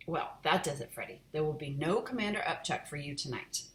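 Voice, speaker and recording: A woman's voice: deep voice, animated, fairly quick, fairly bright tone. A woman voicing a stern mother who scolds her children, firm and raised, in a roomy echo.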